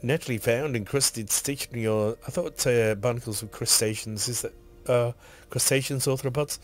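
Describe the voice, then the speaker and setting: A man with a husky, hoarse voice from a cough, rambling casually while streaming a game and reading item text aloud. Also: medium pitch, moderate pace.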